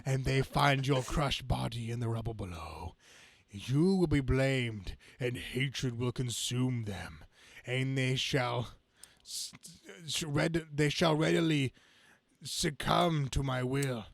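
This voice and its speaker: Man reading as slow, gravelly comic-book supervillain. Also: medium pitch, no echo. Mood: menacing, gloating. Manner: grandiose, halting monologue with stumbles. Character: gloating male comic-book supervillain scheming against humanity.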